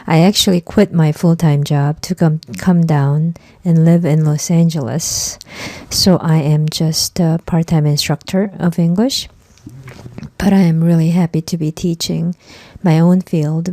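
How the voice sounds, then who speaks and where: Low-voiced woman, Korean native speaker, lecturing. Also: steady tone, a little slow, dark tone, no echo. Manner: conversational, repeats words for emphasis. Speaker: instructor. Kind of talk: online lecture.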